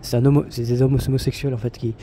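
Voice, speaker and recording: A man's voice: medium pitch, level tone, fast talker, warm tone, slight background noise. A man talking casually about solo travel, rambling with many fillers, restarts and blunt slang. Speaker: travel vlogger. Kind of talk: casual spoken monologue.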